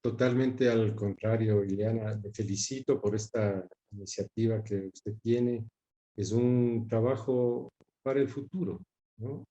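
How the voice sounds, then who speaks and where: An older man from Ecuador answering interview questions in a measured, reflective way, often repeating words for emphasis. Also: lowish voice, fairly even intonation, warm tone, lo-fi sound. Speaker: interviewee, son of a broadcaster.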